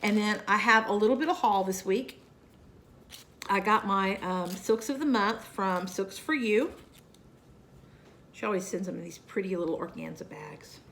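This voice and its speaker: A woman's voice: mid-range voice, a bit bright. Chatty Southern woman, nasal and scratchy. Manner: chatty, folksy, rambling with fillers and asides. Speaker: cross-stitch vlogger. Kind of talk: casual chat to camera.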